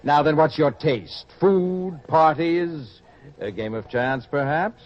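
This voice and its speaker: A smooth, worldly hedonist coaxing someone younger in an old-time radio play. He speaks in a fairly high voice with theatrical, lingering phrases and oily courtesy. The recording is a little dull. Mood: ingratiating. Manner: ingratiating, theatrical, drawn-out phrases. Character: smooth-talking, worldly hedonist man luring a youth. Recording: muffled radio-play audio.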